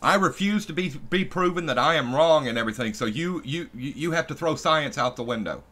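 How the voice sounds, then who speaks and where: A man's voice: a little high, lively intonation, fairly quick, sharp tone. A man on a casual political panel, commenting sarcastically and often repeating his opening words. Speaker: live-stream panelist. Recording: faint background noise.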